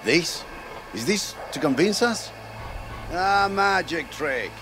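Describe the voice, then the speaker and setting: An actor playing a skeptical, easily flustered corporate board member in a game cutscene, agitated and incredulous. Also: male voice, fairly high pitch, expressive, slow pace. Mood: scoffing. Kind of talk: video game cutscene acting. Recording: music behind the voice.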